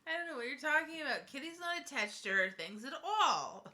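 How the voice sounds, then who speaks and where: Female player, high bright voice, slow sarcastic delivery. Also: big pitch swings.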